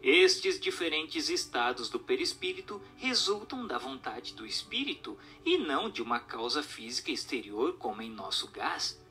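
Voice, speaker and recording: Bright-voiced Brazilian man reading, very expressive. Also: medium pitch, moderate pace, hardly any pauses. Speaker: narrator reading a book aloud. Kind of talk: scripted narration, audiobook reading. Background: background music.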